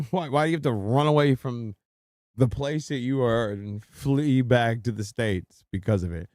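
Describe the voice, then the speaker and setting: A man's voice: mid-range voice, measured pace, darkish tone, dry recording, clean studio sound. A man streaming a reaction video, chatting casually and crudely while joking and laughing along.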